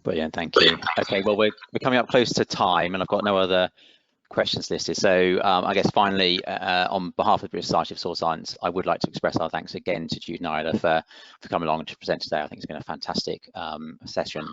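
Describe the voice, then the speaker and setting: Low-pitched man hosting a webinar. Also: fairly even intonation, fast talker, a bit bright, dry recording. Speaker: webinar host. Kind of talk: hosting an online webinar, closing announcements. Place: online video call. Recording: dull, dry online call audio.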